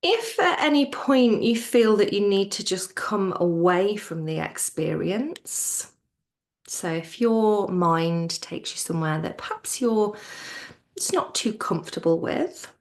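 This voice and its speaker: A woman teaching yoga nidra in a soothing, gentle manner, her soft voice trailing off toward a near-whisper as she guides relaxation. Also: mid-range voice. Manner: soothing, gentle, unhurried with pauses.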